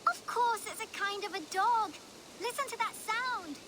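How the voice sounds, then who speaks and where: A woman's voice: extremely high pitch, normal speed, background noise. A woman playing a bold, bossy, imaginative little child in a video game, insistent and matter-of-fact. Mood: excited, insistent. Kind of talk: video game cutscene acting.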